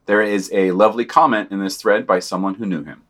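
A man's voice: on the low side, fairly even intonation, a little fast, a bit bright. Dry-toned man reading a podcast digest. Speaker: podcast co-host. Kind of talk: scripted news digest with quotes and dry asides.

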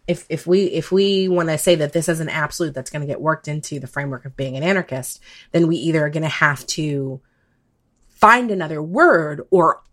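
A woman with a low-pitched voice talks casually in a podcast discussion. She weighs ideas tentatively, repeating words as she works toward her point. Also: fairly bright tone.

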